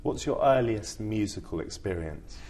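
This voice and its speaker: Deep-voiced male interviewer. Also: expressive, normal speed, darkish tone. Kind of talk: short interview questions.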